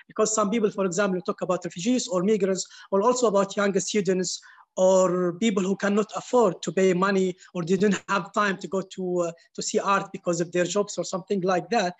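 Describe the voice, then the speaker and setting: High-voiced man speaking halting panel English. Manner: searching, halting non-native phrasing. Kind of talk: panel talk.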